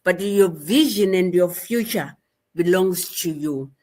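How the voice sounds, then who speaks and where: Slow, measured woman. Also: lowish voice, warm tone. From rural Zimbabwe. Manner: slow, measured, repeats phrases. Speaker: guest activist. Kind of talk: interview storytelling.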